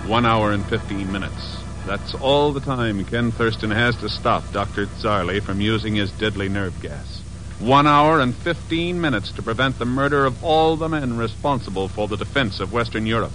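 A man announcing and narrating a 1950s radio programme in a scripted, deliberate, dramatic manner. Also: lowish voice, fairly bright tone. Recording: noisy old 1950s radio recording.

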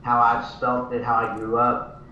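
A man from Texas guesting on a talk show by video call, telling stories in a relaxed, friendly way. He sits well back from the mic, and the audio has a heavy room echo. A faint hum of background noise runs beneath his voice. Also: medium pitch, a little slow, recorded from a distance, dull audio. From Texas, born in Thailand. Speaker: guest musician. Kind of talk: casual interview chat.

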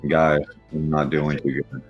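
A man's voice: a bass voice, monotone, unhurried, vocal fry, slightly rough, dull audio, faint music behind. A man playing a tabletop game, speaking in character in the first person. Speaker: player.

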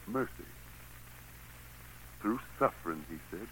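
An actor playing the Lord as a solemn, gentle, musing God in a 1940s radio drama. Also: male voice, on the low side, lively intonation. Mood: solemn, pensive. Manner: grave, musing, slow to answer. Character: the Lord, solemn, gentle, troubled male God in human form. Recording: noisy vintage 1940s radio broadcast.

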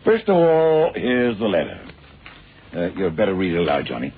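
An actor playing a worried, brisk official who explains things emphatically in a vintage radio crime drama. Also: male voice, sits low, huge pitch swings, narrow, tinny audio, noisy background. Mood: urgent, grave. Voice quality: husky, rough. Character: worried, brisk male official briefing investigators. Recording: thin, band-limited old radio recording.

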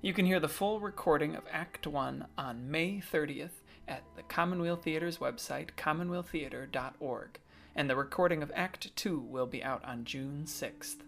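A man's voice: fairly high pitch, moderate pace, creaky, fairly bright tone. A man hosting a podcast, talking casually as he interviews a guest and addresses listeners. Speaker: podcast host.

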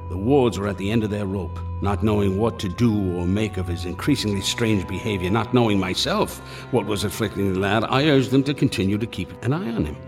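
An actor playing a steady, fatherly family doctor who narrates a radio drama in a measured, formal way. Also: fairly low pitch, close to an everyday voice. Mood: grave, measured. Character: steady, fatherly male family doctor recounting strange events. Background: prominent music.

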